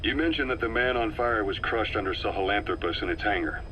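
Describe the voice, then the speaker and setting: An actor playing a grim, measured military intelligence operative giving a wary briefing in a video game. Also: male voice, animated, natural voice. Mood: probing. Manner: measured, serious, expository. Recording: noisy cassette tape with vehicle rumble.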